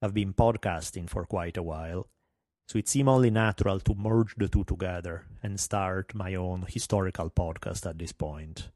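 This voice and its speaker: Podcast host, middle-aged man, thick Italian accent, fairly low voice, wry and self-deprecating. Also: moderate pace, darkish tone, dry recording. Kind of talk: solo podcast monologue.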